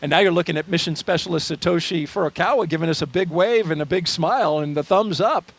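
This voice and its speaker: A man's voice: on the high side, fast, vocal fry. Friendly male launch broadcast host. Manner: steady, informative narration with friendly asides. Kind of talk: live launch commentary.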